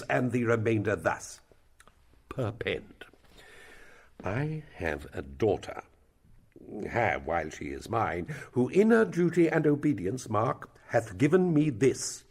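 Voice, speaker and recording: Man acting gravelly, pompous old royal counsellor. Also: medium pitch, heavily acted. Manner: pompous, rambling, theatrical delivery with drawn-out words. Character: wordy, self-important elderly male royal counsellor, eager schemer. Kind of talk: Shakespearean stage-play acting.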